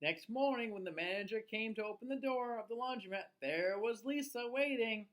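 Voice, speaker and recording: A man's voice: high voice, normal speed, a bit bright, poor audio. A man narrating a children's storybook aloud like a teacher, expressively and with small reactions for young listeners.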